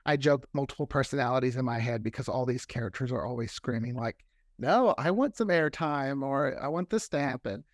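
A man's voice: medium pitch, fairly quick, no echo, clean studio sound. A man chatting casually as an interview guest, telling stories and often voicing quoted remarks as he goes. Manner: animated storyteller, often acts out quoted remarks. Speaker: guest, audio drama creator.

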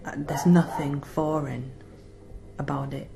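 A woman explaining her own situation in a soft, plain manner in a recorded clip. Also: low voice, fairly even intonation, slow, gravelly, music in the background.

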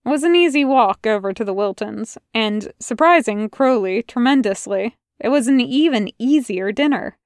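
A woman with a fairly high voice narrating a fan fiction audiobook. Her reading is steady and expressive, recorded close and dry without any room echo. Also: moderate pace, hardly any pauses. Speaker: podfic reader.

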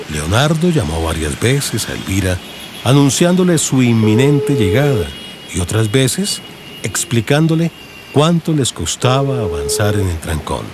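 A man with a Colombian accent narrating a scripted audiobook in radio-drama style. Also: mid-range voice. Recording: faint background noise and ambience.

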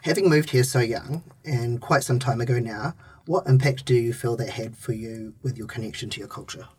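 A man asking measured questions as a podcast interviewer. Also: medium pitch, a little mellow, recorded from a distance, a little hiss or hum.

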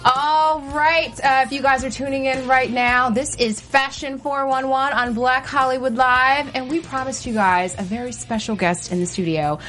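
A woman's voice: high-pitched, moderate pace, hardly any pauses, fairly bright tone, faint music behind. A woman co-hosting a fashion chat show in a studio, upbeat and enthusiastic. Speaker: co-host.

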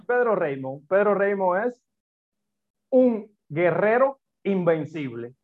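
A man's voice: high voice, very animated, slow. A man from Cuba with a Cuban accent, a guest in a conversational interview, speaking animatedly in repeated short phrases. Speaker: podcast guest, entrepreneur. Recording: tinny phone-like line.

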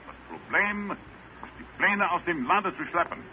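An actor playing an agent in a 1940s radio drama, announcing in a clipped, formal way. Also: male voice, high voice, normal speed, close to an everyday voice. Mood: urgent, official. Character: German Gestapo agent secretly broadcasting to Berlin. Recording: noisy, tinny old radio recording.

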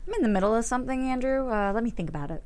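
Actress as a poised, higher-voiced TV host. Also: female voice, lively intonation, very fast, a little mellow, lightly acted. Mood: hurried, hesitant. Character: poised professional female TV talk show host. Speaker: actress at a table read. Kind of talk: table read of a screenplay.

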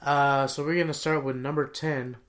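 A man's voice: a little high, steady tone, normal speed, fairly bright tone. Hesitant man co-hosting a casual podcast. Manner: hesitant, restarts often, searches for words. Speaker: podcast co-host. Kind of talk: casual chat counting down songs.

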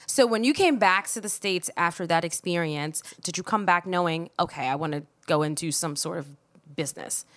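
A woman's voice: lowish voice, a little fast. A woman hosting a podcast, bubbly and encouraging as she asks questions and backs up her guest. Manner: bubbly, encouraging, lots of backchannel. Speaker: podcast host. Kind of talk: casual podcast interview.

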